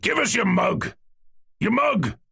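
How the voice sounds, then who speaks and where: A man voicing an emotional, boisterous old male Cossack hunter in an audiobook, loud and sentimental with a raspy voice. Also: high voice, very animated, slow, dry recording, studio quality, pitched above the performer's own voice, full character voice. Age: older. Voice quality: gravelly, raspy. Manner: loud, lurching, sentimental outbursts. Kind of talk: audiobook character voice.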